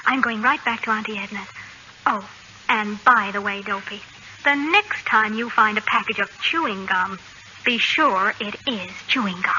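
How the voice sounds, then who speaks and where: An actress playing a brisk, tender mother with wry good humor in a 1940s radio comedy. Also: medium pitch, bright, forceful tone. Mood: brisk, polite. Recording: dull, noisy old radio recording.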